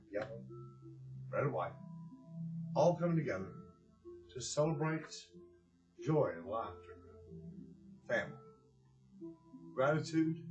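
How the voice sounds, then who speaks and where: A man speaking earnestly and deliberately with pauses, as a presenter giving a heartfelt address and storybook reading. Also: mid-range voice, poor audio. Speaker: director of a community organization, presenter.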